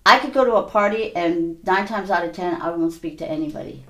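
A woman from Hawaii and California, telling her own story casually and haltingly as an interview guest. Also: on the low side. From Hawaii and San Mateo County, California. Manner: conversational, halting, repeats "you know". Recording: roomy, echoey space.

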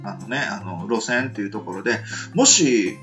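A man co-hosting a casual radio talk show, chatty and quick to agree and laugh. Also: fairly low pitch, a bit bright, faint music behind. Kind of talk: casual chat.

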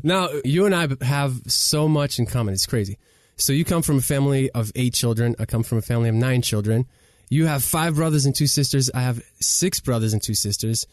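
A man's voice: medium pitch, brisk, a little mellow, no room sound. A man who speaks English as a non-native language, hosting a podcast interview in a friendly, casual way and laughing often. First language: not English. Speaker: podcast host.

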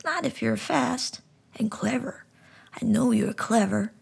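Woman putting on a little talking frog, high and breathy, gentle, soothing, slow. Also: lively intonation, exaggerated voice. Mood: tender coaxing. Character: gentle wise male frog, small and kind.

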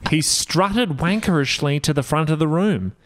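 A man's voice: on the high side, expressive, normal speed. A man reading a story aloud for comedy, with joking asides and an amused delivery that often tips into laughter. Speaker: podcast guest reading aloud.

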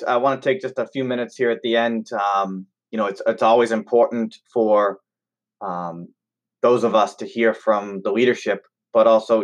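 Lower-voiced male interviewer, slightly muffled. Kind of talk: conversational interview questions. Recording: slightly dull lo-fi audio.

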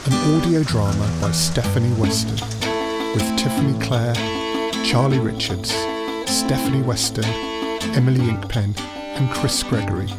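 A man's voice: medium pitch, normal speed, loud music behind the voice. A man making a scripted announcement of a drama's title and cast.

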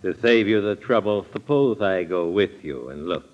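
An actor playing a soft-spoken, formal and menacing strangler in a 1940s radio crime drama. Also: male voice, low-pitched, expressive. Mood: polite, guarded. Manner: formal, slow, deliberate. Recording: muffled, noisy old radio recording.

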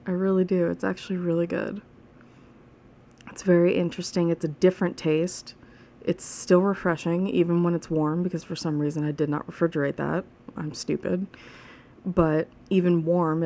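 Solo podcast host, woman, 30s; deep-set and monotone with vocal fry, chatty, frank and rambling. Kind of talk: casual solo podcast monologue. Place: alone at home.

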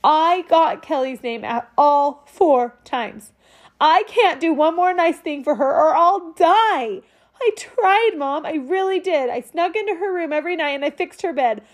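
A woman's voice: sits high, animated, a little slow, voice pushed up. A woman voicing a tearful young boy who sniffles and pleads through his crying. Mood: sobbing.

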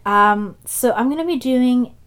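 A young woman co-hosting a podcast, English not her first language, chatting casually and acting out quoted dialogue with big jumps in pitch. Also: unhurried, fairly bright tone, clear, resonant tone. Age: young adult. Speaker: podcast co-host. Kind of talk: casual chat and storytelling.

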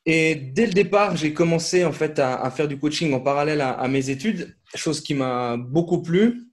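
A man's voice: fairly high pitch, normal speed. Hesitant man talking easily. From Switzerland. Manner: hesitant, many fillers and restarts. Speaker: podcast guest, CrossFit coach. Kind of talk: casual podcast interview.

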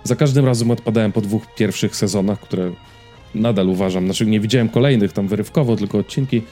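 Casual male podcast host, thirties, mellow timbre, hesitant rambling delivery, faint music bed. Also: fairly low pitch, fast. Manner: rambling, hesitant, repeating words as he goes. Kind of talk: casual chat about personal habits and series.

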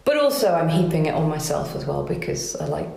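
A woman's voice: low voice, very animated, brisk, gravelly. A woman in her forties, raised in Wales, chatting in an interview as a competition organiser, with frequent restarts. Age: 40s. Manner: chatty, warm laughs, many restarts. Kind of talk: casual interview. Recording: roomy echo.

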